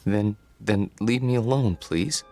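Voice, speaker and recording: An actor playing a shy, lonely, gentle mother's boy in a radio drama, hesitant, stammering and apologetic. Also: on the low side, slow pace. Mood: timid, uneasy. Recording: radio play with soft music underneath.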